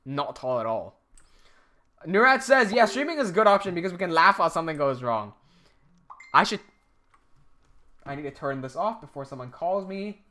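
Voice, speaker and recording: A man's voice: a little high, very animated, moderate pace, long pauses. A man with an American accent chatting casually on a live stream, reading out viewer questions and answering them as he goes. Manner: chatty, reads out viewer questions while fiddling with software.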